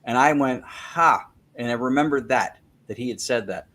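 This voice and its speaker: A man's voice: mid-range voice, normal speed, fairly bright tone. A man as an interview guest, explaining a technical method in detail and repeating words as he thinks. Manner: self-correcting, repeats words while thinking. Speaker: guest, spirit-communication researcher.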